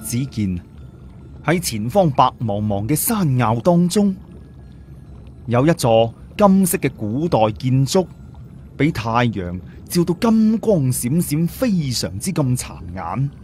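Lively male storyteller. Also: medium pitch, slow pace, soft background music. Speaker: audiobook narrator. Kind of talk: dramatic scripted story narration.

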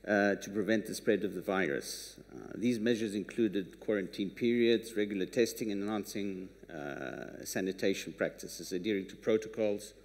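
A man in his sixties speaking haltingly as a conference panelist, giving prepared remarks. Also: lowish voice. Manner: halting, hesitant delivery. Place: on stage in a conference hall. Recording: echoey conference hall.

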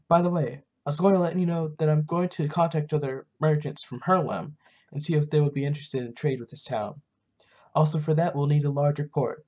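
An earnest game-world merchant acted out by a gamer, concerned and emphatic. The voice is husky and projected, declaiming each line. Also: medium pitch, a little fast, darkish tone, natural voice. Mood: grave, earnest.

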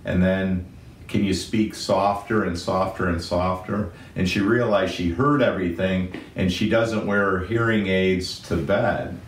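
A middle-aged man, a doctor, explaining ear symptoms to camera in his office, talking with lots of hand gestures. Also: measured pace. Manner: self-described booming voice when animated, gestures a lot. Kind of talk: explainer video. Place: medical office in front of a screen. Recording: roomy, slightly distant, faint rumble.

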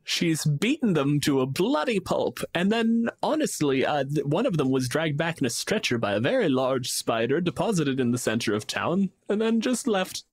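A man's voice: fairly high pitch, sing-song, normal speed, hardly any pauses, breathy edge, dry recording. Casual man chatting, dry close sound. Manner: profane, slangy, acts out imagined quotes. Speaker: podcast co-host. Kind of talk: casual, animated chat about fantasy game monsters.

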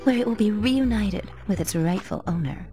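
A woman's voice: mid-range voice, expressive, moderate pace, mellow, soft background music, lightly acted. A woman playing a sly, flirtatious card player in a video game, teasing and poised. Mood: flirty, sly. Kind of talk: western-flavored video game cutscene acting.

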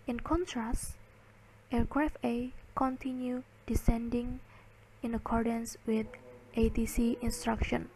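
A woman giving a slide lecture, reading and explaining in halting, word-by-word phrases. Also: a little high, gravelly, darkish tone, a little hiss or hum, poor audio.

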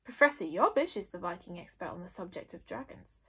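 Woman as old Viking thinker, male, breathy, amused, wry, unhurried, lilting, storybook. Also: on the low side, expressive, pushed and bright, pitched below the performer's own voice, heavily acted. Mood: amused, dry. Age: older.

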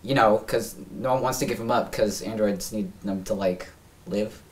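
A young American man playing a nervous, rambling small-time parts buyer at a script read, talking in halting slang. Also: lowish voice, close to an everyday voice. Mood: flustered, rambling. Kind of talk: table read of a film script. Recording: distant mic in a room.

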